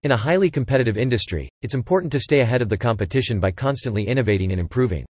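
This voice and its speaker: A man reading English example sentences aloud for listening practice, in an even, clipped delivery. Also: mid-range voice, very fast, slightly rough, dry recording.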